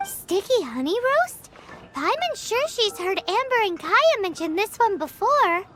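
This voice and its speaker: Cheeky pocket-sized game fairy: squeaky child voice, very high, swooping delivery. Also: female voice, very animated, measured pace, very noisy, heavily acted. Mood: curious, cheeky. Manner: speaks of self in third person, pert. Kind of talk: English video game voice acting.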